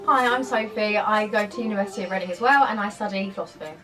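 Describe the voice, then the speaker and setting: A young student talks fast and eagerly, chiming in with her friend. She is set back from the mic, and clear music plays underneath. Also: medium pitch, recorded from a distance. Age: young adult. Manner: chatty, quick to agree. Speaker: student interviewee. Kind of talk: casual chat with a friend.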